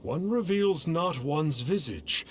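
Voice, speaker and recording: An actor playing a lofty, cryptic, self-styled immortal sage in a video game, speaking in pompous, archaic, formal phrases. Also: male voice, on the high side, lively intonation, slow. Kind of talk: English video game voice acting.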